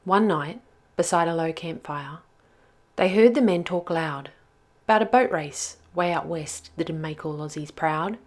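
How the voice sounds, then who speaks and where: A woman's voice: low voice, a little slow. A woman storyteller reading a rhyming children's picture book aloud with expression.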